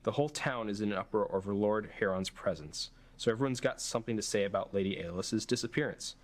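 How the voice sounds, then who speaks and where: Low-voiced man explaining game design. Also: fast. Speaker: game developer. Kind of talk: explanatory developer commentary.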